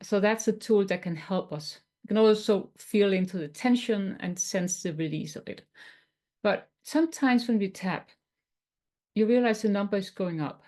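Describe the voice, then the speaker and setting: A woman from Denmark with a Danish accent, teaching tapping in a webinar in a gentle, explanatory way with some non-native phrasing. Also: medium pitch, normal speed. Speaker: tapping coach.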